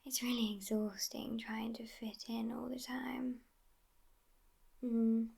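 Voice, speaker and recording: A woman plays a sassy immortal vampire girlfriend in an intimate ASMR roleplay. Her breathy whisper is slow and creaky at the edges. The delivery stays level, world-weary and teasing. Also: fairly high pitch, flat delivery, exaggerated voice. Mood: sardonic, amused. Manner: unhurried, soft, intimate whispering.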